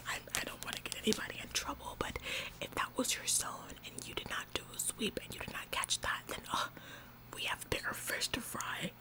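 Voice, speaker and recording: A woman's voice: close to an everyday voice, rough recording. A woman playing a bossy, self-important young retail employee in an ASMR roleplay, earnest and stammering over repeated words.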